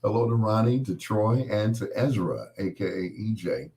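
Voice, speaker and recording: Deep hoarse guy with a cold, rambling late night radio host, laughs at his own dad jokes. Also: lively intonation, moderate pace, darkish tone.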